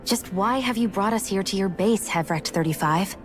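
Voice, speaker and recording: A woman's voice: medium pitch, normal speed, music in the background, close to an everyday voice. A woman playing a composed, sharply questioning adventurer in a video game cutscene. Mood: serious, probing.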